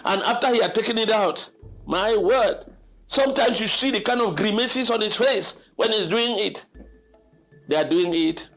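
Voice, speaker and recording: Older male storyteller with a high-pitched voice. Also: normal speed, faint music behind. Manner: expressive, dramatic, exclamatory delivery. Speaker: storyteller host. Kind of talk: informal spoken storytelling and reflection.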